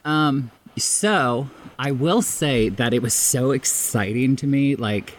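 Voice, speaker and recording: Male guest, casual animated storyteller, giggly and self-mocking, faintly noisy recording. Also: mid-range voice, takes it slowly. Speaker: podcast guest.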